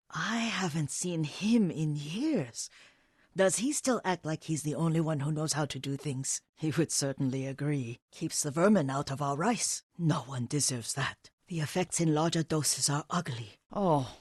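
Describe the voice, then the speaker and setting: Elderly female caretaker, game drama: gentle, wistful, devoted, slightly low husky voice, halting slow delivery. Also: expressive, no echo, clean studio sound, exaggerated voice. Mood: fond, matter-of-fact. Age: older. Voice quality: husky, breathy. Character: frail, devoted elderly Japanese woman caretaker and herbalist. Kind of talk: dramatic video game voice acting.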